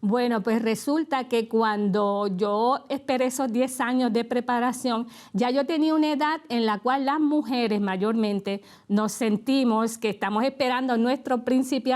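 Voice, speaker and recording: Woman, Puerto Rican accent, fairly high voice, relaxed storytelling, studio talk show. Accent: Puerto Rican Spanish. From Puerto Rico. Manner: relaxed storytelling with light laughs. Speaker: co-host and guest.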